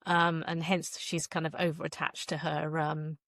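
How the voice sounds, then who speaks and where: A woman's voice: low-pitched, level tone, normal speed, a bit bright, dry recording, studio quality. A woman speaking as a guest author in a casual, chatty interview.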